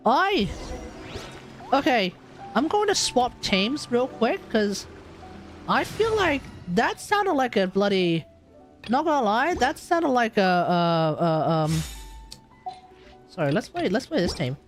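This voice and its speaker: A woman's voice: fairly high pitch, big pitch swings, takes it slowly, long pauses. A woman with an Australian accent giving casual, joking, slang-heavy live commentary on a video game. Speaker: livestreamer. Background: game music.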